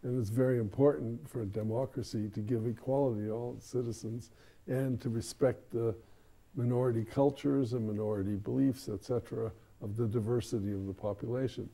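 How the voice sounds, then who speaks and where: A man hosting a studio interview show, talking with a guest in a relaxed, joking way. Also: mid-range voice, fairly quick, creaky. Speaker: talk show host.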